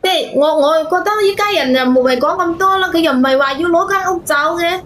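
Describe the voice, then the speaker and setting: A woman's voice: extremely high pitch, fast, a bit bright. A woman caller hesitantly and defensively explaining a family problem, repeating herself.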